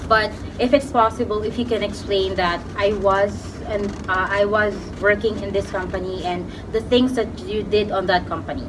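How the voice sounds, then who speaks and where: A woman's voice: medium pitch, fairly even intonation, normal speed, few pauses. A woman from the Philippines giving casual job interview advice in a coaching manner, with frequent fillers and restarts. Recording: distant mic with background noise.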